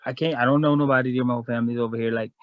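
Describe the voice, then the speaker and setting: A man's voice: medium pitch, steady tone, very fast, no echo, lo-fi sound. A man from Guyana, a guest in a casual chat, telling a long story in a frank, rambling way.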